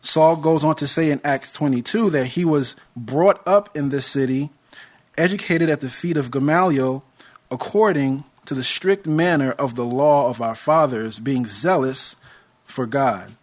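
A young American man preaching a sermon in a measured, earnest way, with touches of light humor. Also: medium pitch. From United States.

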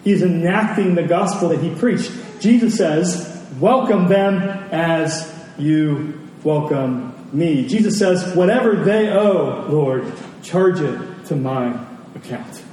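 A man delivers a sermon in an earnest, conversational way, with rhetorical questions and deliberate pauses. His voice sits a little high yet sounds mellow. It reaches the listener from a distance, washed in heavy hall reverb. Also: lively intonation. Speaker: preacher. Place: large reverberant room, church service. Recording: very echoey large hall, distant, noisy.

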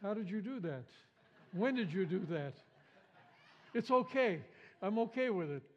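A man's voice: sits high, animated, measured pace, dull audio, a little hiss or hum. Big-voiced pastor man preaching. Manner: big voice, emphatic, repeats phrases. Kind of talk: Easter sermon. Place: church sanctuary.

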